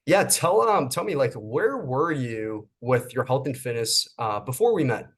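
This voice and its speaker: A man's voice: medium pitch, animated, measured pace. A man hosts a casual interview as a fitness coach. He sounds friendly and encouraging, filling his questions with "um" and little restarts.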